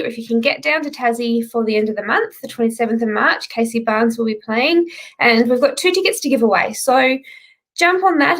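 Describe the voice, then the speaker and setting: A woman's voice: sits high, fairly bright tone. A woman hosting a live-streamed country music show, chatting and greeting viewers by name.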